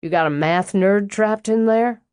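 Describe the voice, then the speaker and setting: A woman's voice: mid-range voice, measured pace, darkish tone, clean tone, no echo, clean studio sound, voice pushed up, natural voice. A woman voicing an easygoing, flirtatious man. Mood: teasing. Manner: drawling, teasing. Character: easygoing flirtatious southern man, teasing landscaper.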